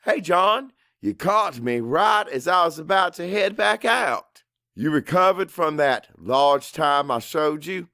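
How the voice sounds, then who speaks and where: Man doing a breezy, high-pitched company man. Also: animated, slow pace, pushed and bright, dry recording, studio quality, heavily acted. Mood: breezy, evasive. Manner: casual, evasive. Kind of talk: audiobook character acting.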